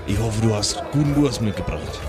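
A man's voice: fairly low pitch, lively intonation, fairly quick, loud music behind the voice, over a noisy crowd, lightly acted. A man voicing a surly, worn-out barman of about fifty, blunt and grudging, in an improvised audio play. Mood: weary, gruff.

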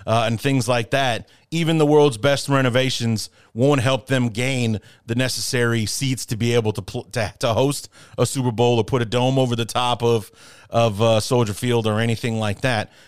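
A man's voice: medium pitch, steady tone, moderate pace, clean tone, no echo, studio quality. A man hosting a sports podcast, blunt and opinionated, swearing freely as he talks. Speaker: podcast host. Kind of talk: casual sports podcast talk.

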